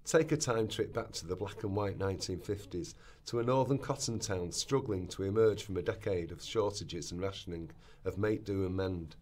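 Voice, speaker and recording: A man's voice: sits low, darkish tone. A man reading scripted narration aloud in a rehearsal for a radio play.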